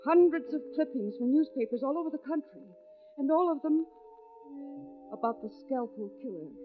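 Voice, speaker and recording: Anxious wife in tinny vintage radio suspense. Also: female voice, sits high. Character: anxious, devoted young wife narrating a suspense tale. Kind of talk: 1940s radio acting. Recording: vintage radio, phone-like band, music behind.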